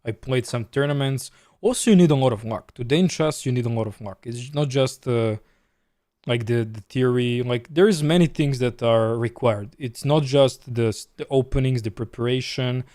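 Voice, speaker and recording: A man with a Serbian accent streams a chess game live and talks casually as he plays. He reads viewers' questions aloud and answers them in a loose, chatty way. His voice sits at a middling pitch and sounds dry and close to the mic. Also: normal speed, dark tone, dry recording.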